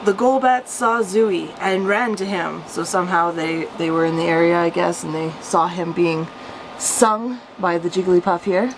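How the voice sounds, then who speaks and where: Creaky, low-pitched woman chatting through a story. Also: normal speed, noisy background. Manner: self-mocking, chuckly, animated when reading. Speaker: storyteller, vlogger. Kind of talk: reading own childhood story with amused casual commentary.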